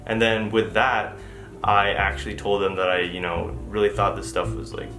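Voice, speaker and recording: A man's voice: lowish voice, fairly even intonation, moderate pace. A young man whose first language is Tagalog, with a slight Filipino accent, chatting casually to camera as a vlogger with lots of fillers and restarts. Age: young adult. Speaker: vlogger answering viewer assumptions. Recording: echoey room with music underneath.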